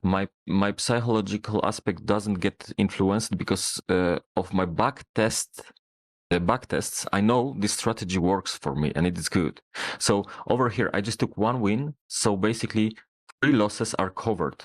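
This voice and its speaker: A man speaking English as a second language, explaining his trading in a halting way with many restarts and repeated words. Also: lowish voice. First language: not English. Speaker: trader guest. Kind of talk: casual call explaining charts.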